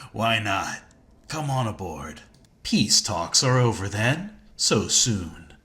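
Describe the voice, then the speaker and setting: Slow, sardonic low-voiced spacefarer. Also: male voice, big pitch swings, fairly bright tone, slight background noise, heavily acted. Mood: cool challenge. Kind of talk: video game voice acting.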